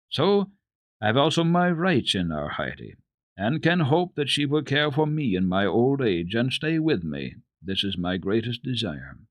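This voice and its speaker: A man voicing an earnest, devoted ageing gentleman doctor in a dramatic storybook reading, formal, emphatic and heartfelt. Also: fairly low pitch, no room sound.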